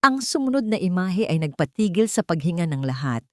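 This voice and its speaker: Woman storyteller, kinda low voice, dramatic scripted narration, very dry sound. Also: very animated, fairly quick, a little mellow, no echo.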